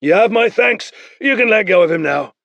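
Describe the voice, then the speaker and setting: A man voicing a gruff, wily warrior who brushes off a boy's claims. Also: sits high, animated, moderate pace, a bit bright, no echo, lo-fi sound, voice pushed up. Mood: dismissive. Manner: dry, dismissive. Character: gruff, wily male axe-carrying warrior.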